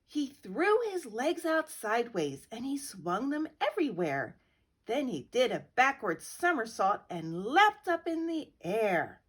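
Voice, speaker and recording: A middle-aged woman reading a children's picture book aloud with slow, expressive storytelling. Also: on the high side, husky. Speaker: guest reader.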